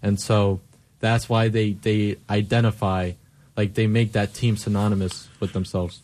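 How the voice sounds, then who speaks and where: A man's voice: on the low side, level tone, unhurried, a little mellow. Chatty young man on the radio. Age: young adult. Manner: chatty, many likes. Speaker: radio show guest. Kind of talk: casual discussion.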